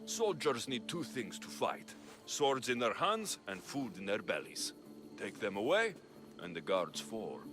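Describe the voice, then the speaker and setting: An actor playing a stern, impatient mercenary of ancient Greece in a video game cutscene. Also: male voice, fairly low pitch, big pitch swings, measured pace, music in the background. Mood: grim resolve.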